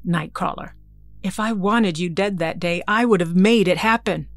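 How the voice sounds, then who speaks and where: A woman's voice: lowish voice, normal speed, dry recording, natural voice. An actress playing an ageless mutant mother in an audio drama, cold, guarded and authoritative in clipped phrases. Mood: cool, firm. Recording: music under the dialogue.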